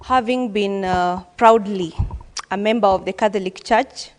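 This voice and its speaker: A woman's voice: mid-range voice, unhurried, big-room sound. A woman giving an earnest, formal speech as a senator, with frequent hesitations. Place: legislative chamber.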